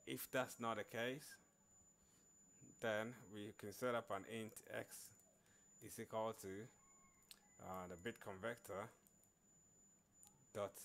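Man, low-pitched voice, tutorial presenter, informal screencast narration, halting delivery, repeated words. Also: fairly even intonation, fairly bright tone, low-quality recording. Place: screen recording voice-over.

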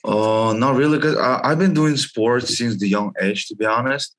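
A man's voice: medium pitch, moderate pace, a bit bright. A young man from Russia and China, a guest on a video call, speaking casually with frequent "like" and loose non-native phrasing. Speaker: guest, gym member. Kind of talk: casual interview chat. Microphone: headset on video call.

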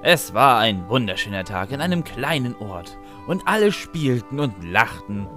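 A man's voice: medium pitch, sing-song, normal speed, sharp tone, faint music behind. A man narrating a comic audio drama who is bossy and impatient and fumbles for words.

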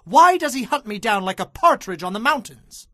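A bold young warrior speaks in a high, earnest voice, declaiming with devout loyalty. It is a dramatized Bible production, recorded close and dry. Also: male voice, very animated, moderate pace, bright, forceful tone, no echo, full character voice. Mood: pleading. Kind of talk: dramatized audio Bible acting.

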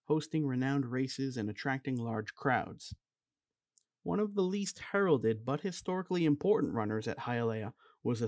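Male voice-over narrator, mid-pitched and even, reading a history script in a dead-dry booth. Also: no room sound. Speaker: documentary narrator.

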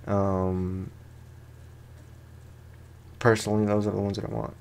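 A man with a low-pitched, creaky voice hosts a casual let's play. He rambles in loose slang and pokes fun at himself as he goes, talking through the game like he would to a friend. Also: little pitch movement, unhurried, darkish tone. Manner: rambling, slangy, self-deprecating. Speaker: gaming host.

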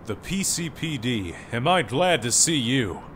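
A man's voice: mid-range voice, very animated, measured pace, fairly bright tone. A man playing a flustered, indignant factory owner with an emphatic, self-important manner in an audio drama. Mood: explaining. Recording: clear outdoor street noise behind.

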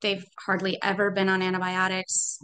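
A woman's voice: mid-range voice, completely flat delivery, normal speed, sharp tone, clear, resonant tone. A woman in her thirties talking earnestly and thoughtfully about her family on a video call from home. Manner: earnest, thoughtful, trailing hedges. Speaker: parent in a program. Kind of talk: casual conversation. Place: home room.

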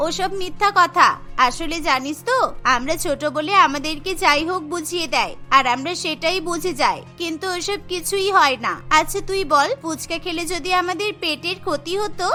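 A cheeky, stubborn little schoolgirl from a cartoon , acted by a woman, pleading and insisting. The voice is tiny and extremely high, with a bright, piercing edge, over soft music. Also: moderate pace. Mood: insistent. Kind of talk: Bengali cartoon story voice acting.

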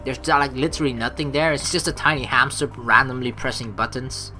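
A woman's voice: very deep voice, steady tone, a little fast, pushed and bright. A woman playing through a game, reading the story aloud and making casual, swearing asides. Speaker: let's play streamer. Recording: game music behind.

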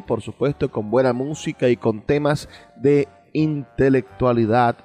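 A man's voice: medium pitch, mellow. Venezuelan man hosting radio over music. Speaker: radio programme host. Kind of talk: radio presenting, introducing music. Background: background music.

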